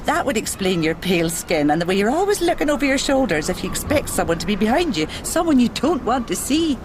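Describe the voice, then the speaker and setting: An actress in a radio drama playing a friendly, curious island widow with a Scottish accent, musing and gently teasing. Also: female voice, on the high side, big pitch swings, fast, background noise.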